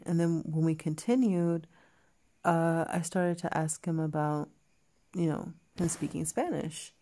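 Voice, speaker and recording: Low, gravelly-voiced woman telling a story. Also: dark tone. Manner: chatty, many fillers and restarts, quotes others in dialogue. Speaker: vlogger. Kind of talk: casual storytelling to camera.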